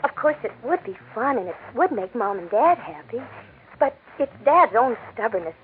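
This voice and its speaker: A sweet, excitable young American wife in a 1940s radio drama. Her voice sits high and moves in big swoops. The recording is tinny and narrow, with some hiss. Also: moderate pace. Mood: coaxing. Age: young adult.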